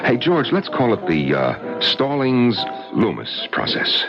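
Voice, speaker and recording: An actor playing a breezy, scheming old college buddy, chummy and persuasive, in an old-time radio drama. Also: male voice, mid-range voice, huge pitch swings, slow pace, heavily acted. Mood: chummy coaxing. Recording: muffled old radio recording with music.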